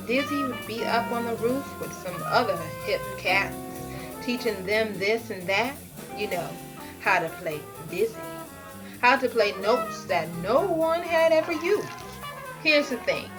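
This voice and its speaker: A woman reading a children's picture book aloud in an expressive, playful, theatrical way with dramatic pauses. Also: high voice. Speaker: storybook reader.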